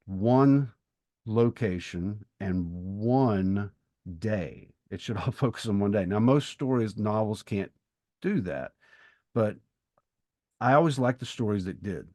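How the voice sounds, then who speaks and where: Middle aged guy with a low voice chatting books on a podcast, dry close sound, feels his way through sentences. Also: lively intonation, dark tone, dry recording. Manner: thoughtful, halting, rephrases as he goes. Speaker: podcast co-host and writer. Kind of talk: reflective literary discussion.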